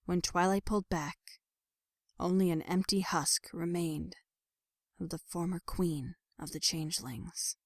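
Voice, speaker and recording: A woman reads a dramatic scripted story as narrator, letting phrases fall into a hushed breath. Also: lowish voice, fairly even intonation, a little slow, no room sound, clean studio sound. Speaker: narrator of a fan fiction audio production. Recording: background music under voice. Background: music.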